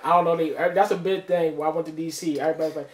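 A man's voice: on the high side, steady tone, racing. A man with a Baltimore accent, co-hosting a casual discussion, talking emphatically and acting out the people he quotes. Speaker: podcast co-host. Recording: echoey room.

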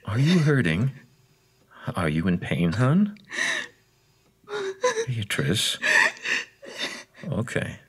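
A man playing a creepy, sadistic captor in a horror audio drama, cooing slowly with false tenderness and menace. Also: on the low side, very animated, breathy edge, full character voice. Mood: false concern.

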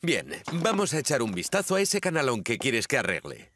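Lively cartoon handyman. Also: mid-range voice, normal speed, a little hiss or hum. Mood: reassuring. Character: reassuring, practical handyman, adult man. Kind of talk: children's cartoon dubbing.

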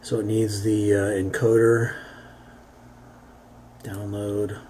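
Low-voiced man giving a halting tutorial. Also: level tone, warm tone. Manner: halting, thinking aloud while working. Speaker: tutorial presenter. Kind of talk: unscripted how-to software demonstration. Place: indoors, beside a computer. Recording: homemade recording with background noise.

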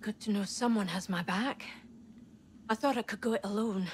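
An actress playing a guarded, practical fugitive engineer in a video game, speaking tersely and directly. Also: female voice, mid-range voice, normal speed, a little hiss or hum, close to an everyday voice. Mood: guarded relief. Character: woman rebel fugitive, self-reliant engineer, guarded and practical.

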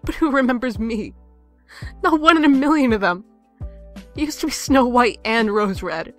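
A woman's voice: fairly high pitch, moderate pace, fairly bright tone, faint music behind, close to an everyday voice. A woman voicing a bitter, sarcastic estranged sister from fairy tales, biting and dramatic, in a comic dub. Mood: angry, anguished.

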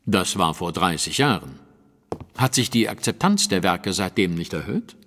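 Victorian detective for audio drama: low register, animated phrasing, composed, polite, precise, reverberant setting. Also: male voice, normal speed, exaggerated voice. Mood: probing. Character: composed, observant, courteous Victorian gentleman detective. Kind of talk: German audio drama acting.

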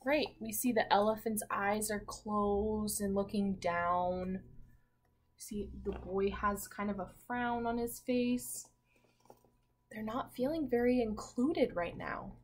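A woman in her twenties, a teacher reading a picture book aloud and asking children simple questions with pauses for answers. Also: mid-range voice, slight background noise. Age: 20s. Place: small room at home, indoors.

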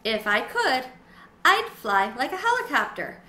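A woman's voice: high-pitched, sing-song, a little slow, sharp tone, pitched above the performer's own voice, heavily acted. A woman voicing an earnest, imaginative young schoolboy in a children's storybook, plain-spoken. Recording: roomy echo, faint background noise.

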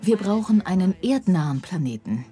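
A woman's voice: fairly low pitch, very animated, moderate pace, over music. A woman speaking in a dubbed documentary style.

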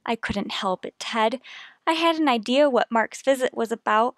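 An actress playing a devoted, knowing wife in a radio mystery, tender and insistent. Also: female voice, a little high, moderate pace, no echo, studio quality, close to an everyday voice. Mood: tender, foreboding. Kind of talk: radio mystery drama acting.

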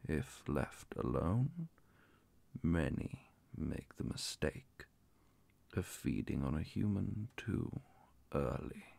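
A man playing a calm, courteous male vampire inquisitor in an ASMR roleplay, speaking softly and formally with whispers and a gravelly, breathy voice. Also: deep voice, dry recording, heavily acted. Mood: formal, solemn. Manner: formal, measured, hushed. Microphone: close mic, dry.

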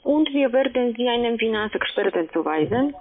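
A woman's voice: on the high side, expressive, normal speed, a little hiss or hum. A woman working as a call centre agent on a sales call, speaking with non-native grammar. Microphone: telephone. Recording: narrow tinny phone line.

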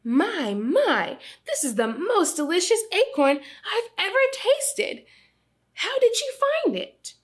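A woman's voice: very high-pitched, big pitch swings, slow pace, voice pushed up, full character voice. A woman voicing a firm but loving mother squirrel in a storybook read-aloud, speaking expressively. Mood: delighted.

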